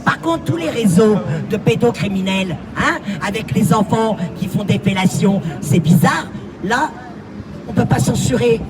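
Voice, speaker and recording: A woman's voice: on the high side, a little slow. A woman at an outdoor protest, insistently demanding an answer and lecturing. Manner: insistent, hectoring, repeats demands. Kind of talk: heated street argument. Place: city street, outdoors. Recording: distant voice, loud crowd and music.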